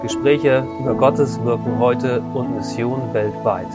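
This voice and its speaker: A man hosting a studio podcast, welcoming listeners and asking his guests questions in a friendly, lively way. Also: mid-range voice, slow pace, muffled recording, over loud music. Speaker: podcast host. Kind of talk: podcast interview.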